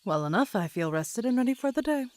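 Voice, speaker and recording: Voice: mid-range voice, lively intonation, fast talker, lightly acted. A performer playing a composed, reassuring young trainee in an audio drama, polite and formal in phrasing.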